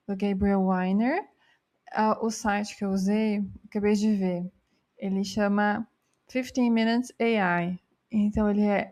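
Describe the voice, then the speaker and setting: A woman's voice: fairly high pitch, a little mellow. A woman who teaches English, going through a book summary in a commented lesson and explaining as she thinks aloud, with frequent restarts. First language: Portuguese.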